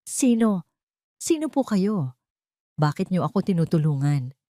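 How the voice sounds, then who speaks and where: A woman's voice: on the low side, sing-song, a little slow, mellow, dry recording, studio quality. A woman playing a weary, anxious young mother with a pleading manner in an audio drama. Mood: bewildered, anxious.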